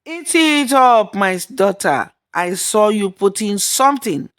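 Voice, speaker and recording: A woman's voice: mid-range voice, sing-song, slow, a bit bright, voice pushed up. Stern older mother-in-law for a folktale, woman performer, fiercely protective of her son, emphatic and scolding. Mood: stern accusing.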